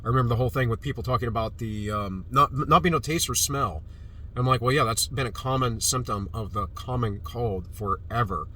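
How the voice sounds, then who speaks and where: A man's voice: medium pitch, fairly quick, sharp tone. A man recovering from a cold who talks in a rambling, dismissive way, with many fillers and restarts. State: recovering from a cold, slightly stuffy. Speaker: vlogger. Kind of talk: casual opinion monologue. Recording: distant mic with street noise.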